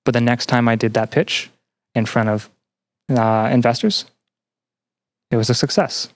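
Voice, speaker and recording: A young man answering as a podcast guest in an earnest, conversational way, haltingly repeating phrases as he thinks. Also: mid-range voice, fairly even intonation. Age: young adult. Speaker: podcast guest, student and teaching assistant.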